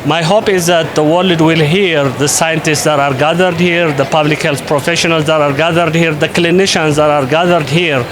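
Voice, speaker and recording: A man from Ethiopia, an invited conference speaker, answering interview questions in a measured way with frequent pauses and fillers. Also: on the high side, rough recording. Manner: measured, with many hesitations and fillers.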